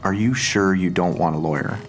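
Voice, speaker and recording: An actor in an audio drama playing a steady police detective who questions in a measured, procedural way. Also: male voice, low-pitched, lightly acted. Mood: probing. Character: steady, probing male police detective interviewing a witness. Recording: music bed behind the voice.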